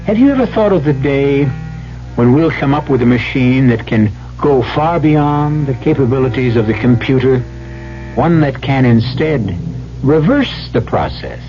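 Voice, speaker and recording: Radio host casting: man, mid-pitched, slow suspenseful scripted delivery, dull old broadcast. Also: warm tone. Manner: deliberate, suspenseful, dramatic pauses. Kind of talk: 1970s radio mystery hosting, scripted narration.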